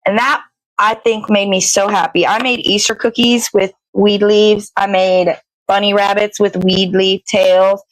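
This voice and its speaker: A woman with a middle-pitched voice chats casually as a livestream host. She meanders through her thoughts, stops to hunt for the right word and swears offhandedly. The sound is dry, with very little room echo. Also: little pitch movement, measured pace. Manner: meandering, searching for words, casually profane. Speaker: live show host. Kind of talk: casual rambling livestream chat.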